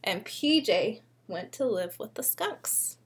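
A young woman reading a picture book aloud to small children like a preschool teacher, expressively and often pausing to ask them questions. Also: medium pitch, lively intonation, husky. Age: young adult. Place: living room at home.

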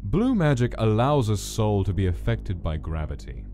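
A man's voice: fairly low pitch, big pitch swings, normal speed, warm tone, faint music behind. A man voicing a cold, formal monster scientist who lectures in a stern, clinical manner, in a comic dub. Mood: stern, lecturing.

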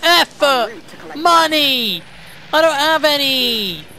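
A man's voice: extremely high pitch, animated, sharp tone, a little hiss or hum. A young man from Wales with a Welsh accent, casually commenting on his gameplay, frustrated and swearing often. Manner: frustrated, sweary, quick outbursts. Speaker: gaming YouTuber.

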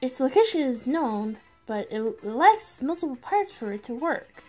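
Woman as a friendly high-voiced cartoon cat. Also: sing-song, measured pace, a little mellow, heavily acted. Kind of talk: amateur comic dub. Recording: background music under the voice.

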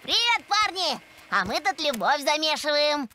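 A woman's voice: high-pitched, big pitch swings, measured pace, pushed and bright, soft background music, exaggerated voice. A woman voicing a dreamy, naive, giggly little girl creature in a children's cartoon, innocent and curious. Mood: playful. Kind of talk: animated children's cartoon acting.

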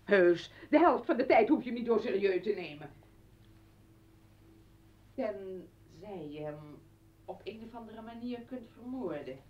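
An actress playing a hurt, scornful ex-wife in a radio play, sarcastic and accusing. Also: female voice, mid-range voice, animated, unhurried, long pauses, lightly acted. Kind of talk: Dutch radio play acting. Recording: dull, distant old radio recording.

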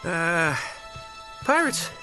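A man's voice: on the high side, very animated, extremely slow, over music, heavily acted. A man in his thirties with an American accent playing a wry, confident treasure hunter in a video game cutscene. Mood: wry, urgent. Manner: wry, teasing, casual. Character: wisecracking, confident adventurous male treasure hunter.

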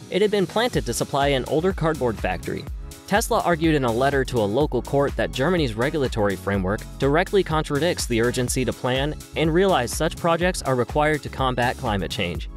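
Male narrator, mid-range pitch, scripted explainer read with faint music bed. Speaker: video narrator. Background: background music.

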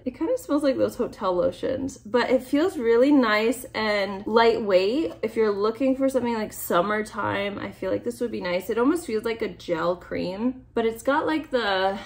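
A woman's voice: mid-range voice, darkish tone. A young woman vlogger with a slightly nasal voice from a cold, chatting in an upbeat way as she unboxes makeup in her bedroom. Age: young adult. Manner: chatty and upbeat, often gushing over products. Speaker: beauty vlogger. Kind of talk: casual makeup unboxing chat. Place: bedroom, indoors.

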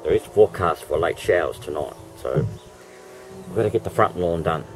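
A middle-aged Australian man with a hoarse voice, chatting casually to camera in a home vlog and laughing often between remarks. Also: low-pitched, expressive, moderate pace, faint music behind. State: hoarse, losing his voice. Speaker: vlogger. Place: home, indoors and in a backyard. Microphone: camera mic.

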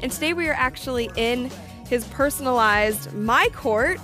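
High-voiced friendly sports interviewer. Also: female voice, lively intonation, moderate pace, pushed and bright, faint music behind. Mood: upbeat. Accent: American. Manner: polite, upbeat, chatty presenting. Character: friendly, chirpy young female TV sports interviewer. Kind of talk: video game interview cutscene.

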